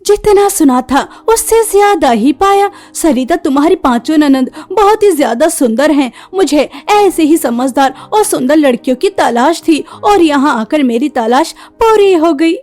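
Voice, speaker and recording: A woman's voice: sits high, animated, a little slow, few pauses, a little mellow. A woman voicing a gracious, approving matchmaking visitor in a cartoon, effusive in manner. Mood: pleased, approving. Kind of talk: cartoon story dialogue. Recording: music behind the voice.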